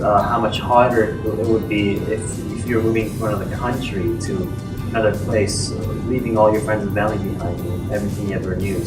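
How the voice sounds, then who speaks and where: A young man in his teens giving a casual, hesitant interview as a youth project participant. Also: on the low side. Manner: hesitant, halting. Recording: roomy, slightly distant, music behind.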